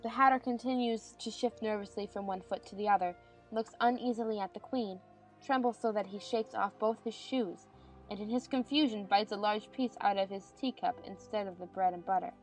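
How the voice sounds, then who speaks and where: A woman's voice: medium pitch, a little fast, soft background music, poor audio. A woman reading scripted stage narration for a dramatized audiobook.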